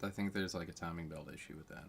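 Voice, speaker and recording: A man chatting casually as a friend on a stream, speaking quietly with a worn-out voice. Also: low voice, steady tone, quick pace, vocal fry, slightly rough. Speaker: co-host friend. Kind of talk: casual chat.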